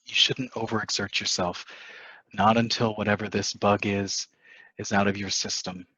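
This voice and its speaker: An actor playing a scheming, defensive husband in a dramatic stage play reading, dismissive and insistent, on a muffled microphone. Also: male voice, on the low side, level tone, normal speed, bright, forceful tone, no room sound. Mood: falsely soothing. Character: scheming, defensive husband poisoning his wife.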